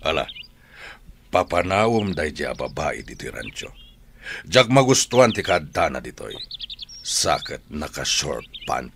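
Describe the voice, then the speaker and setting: A stern radio-drama father, scolding in exasperation. His voice is very deep yet moves animatedly up and down. Birdsong and slight noise sit behind him. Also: big pitch swings, unhurried, long pauses, a bit bright, heavily acted. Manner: gruff, scolding. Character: stern, exasperated father who owns a ranch. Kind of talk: Ilocano radio drama acting.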